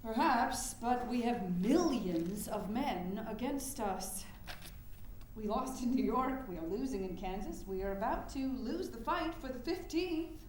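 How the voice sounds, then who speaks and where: A woman's voice: fairly high pitch, lively intonation, measured pace, darkish tone. A woman acting a weary, heartbroken and blunt suffrage leader in a staged period drama, speaking in pressing, formal phrases. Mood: despairing. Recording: very echoey room with faint noise.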